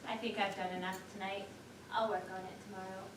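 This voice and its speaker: An actress on stage playing a scatterbrained, chatty wife, dithering yet sure of herself, with emphatic theatrical delivery. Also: female voice, mid-range voice, level tone, fast, close to an everyday voice. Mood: breezy. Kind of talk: live one-act stage play acting. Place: theater stage, picked up from a distance. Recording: roomy, distant, noisy theater recording.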